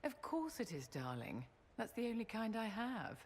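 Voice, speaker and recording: A woman's voice: fairly high pitch, sing-song, normal speed, darkish tone. An actress playing an elegant, imperious but affectionate society lady in a video game, speaking in a genteel, indulgent manner. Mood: breezy, indulgent. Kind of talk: video game cutscene acting.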